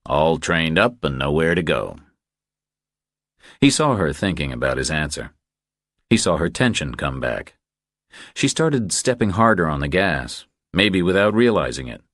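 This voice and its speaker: A man's voice: low voice, very animated, normal speed, a bit bright, no echo, studio quality. A man reading a thriller audiobook as its narrator, in a scripted storytelling style.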